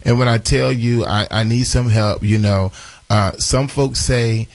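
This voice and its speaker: A man in his forties with a raspy voice, hosting a live morning radio show and chatting through announcements with lots of fillers and restarts. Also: medium pitch, fairly even intonation, unhurried, dry recording. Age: 40s. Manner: chatty, repeats phone numbers, many fillers and restarts.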